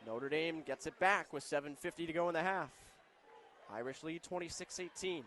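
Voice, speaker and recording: A man's voice: fairly high pitch, moderate pace, fairly bright tone. A man calling a live basketball game as a radio play-by-play announcer. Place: basketball arena, live broadcast. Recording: faint arena background noise.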